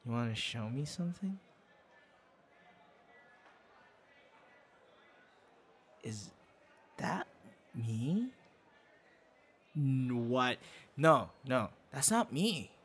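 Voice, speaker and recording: A man playing a bashful young man with a secret hobby in a boyfriend audio roleplay, talking in a halting, flustered, conversational way. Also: mid-range voice, big pitch swings, a bit bright. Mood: puzzled, evasive, then flustered, denying. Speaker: ASMR audio roleplay performer. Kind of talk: intimate boyfriend ASMR roleplay acting. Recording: clear background noise, ambience.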